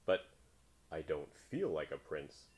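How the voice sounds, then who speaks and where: Man playing an earnest, slow-spoken polite frog. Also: a bass voice, big pitch swings, a little mellow, voice pushed down, exaggerated voice. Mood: mild, puzzled. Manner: plain, deliberate, courteous. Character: earnest, polite young male frog, self-styled prince.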